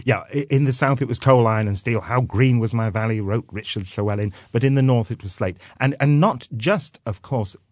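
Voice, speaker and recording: A man's voice: mid-range voice, a little fast, few pauses, darkish tone, no room sound. A man from North Wales with a Welsh accent, explaining things as a guest expert in a radio interview, with dry wit.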